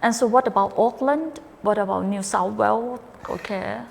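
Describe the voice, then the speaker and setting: A woman hosts a casual radio chat, asking simple questions in plain, non-native phrasing. She keeps the talk going with frequent short sounds of agreement. Her mid-pitched voice carries a long reverb. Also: moderate pace, creaky. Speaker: radio host.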